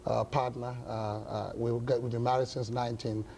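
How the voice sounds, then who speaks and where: Halting older pastor from Ghana. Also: male voice, medium pitch, flat delivery, gravelly, warm tone, a little hiss or hum. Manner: halting, with many "uh" pauses. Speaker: pastor and political science professor. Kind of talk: interview guest, recounting his life.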